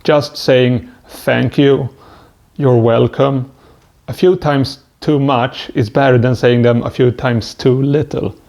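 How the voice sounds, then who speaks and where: Swedish-accented man, gravelly and mellow. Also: medium pitch, fairly even intonation, slow pace. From Sweden. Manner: hesitant, stumbling with frequent "uh" fillers. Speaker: vlogger. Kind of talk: self-help advice monologue.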